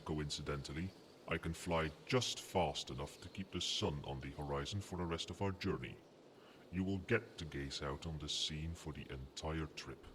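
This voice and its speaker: A man playing a huge old dragon in its true form for an ASMR roleplay, calm and fond, musing in formal phrases. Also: extremely low pitch. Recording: loud ambient noise behind the voice.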